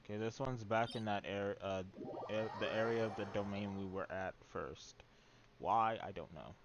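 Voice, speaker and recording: A man's voice: on the low side, moderate pace, muffled recording, noisy background. A man casually commentating on his live game stream, slangy and muttering to himself. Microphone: streamer's home setup with headphones.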